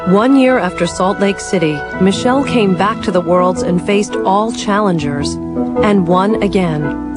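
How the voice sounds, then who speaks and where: A woman giving measured, formal, scripted narration for a sports documentary. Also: medium pitch. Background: music.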